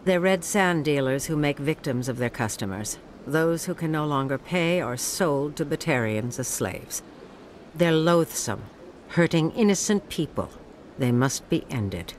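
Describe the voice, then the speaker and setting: A woman's voice: deep voice, expressive, a little slow. A woman playing a calculating underworld businesswoman in a video game, speaking formally, with composed persuasion. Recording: faint ambient noise behind.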